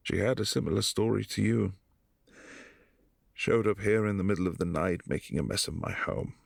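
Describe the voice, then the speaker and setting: A man playing a gravelly, brooding vampire prince. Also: steady tone, normal speed, no room sound, studio quality, exaggerated voice. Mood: wistful. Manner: low, hushed and intimate, slipping into whispers. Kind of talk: boyfriend ASMR roleplay.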